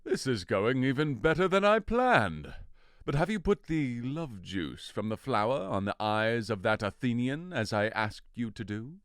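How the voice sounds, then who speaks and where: A man's voice: mid-range voice, big pitch swings, unhurried, a bit bright, no echo, clean studio sound. A man playing an imperious, scheming fairy king in an audio drama, with a commanding and dramatic delivery. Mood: pleased, scheming. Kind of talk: theatrical audio drama acting.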